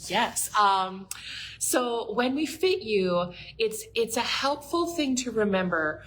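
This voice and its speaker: A woman's voice: fairly high pitch, animated, takes it slowly, a bit bright, slight background noise, poor audio. A young woman co-hosting a casual advice chat, who repeats phrases and encourages her co-host. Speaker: co-host at a bra shop.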